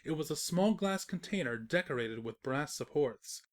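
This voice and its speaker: A man's voice: fairly high pitch, animated, normal speed. A man narrating a scripted comic story in a storytelling manner with wry asides.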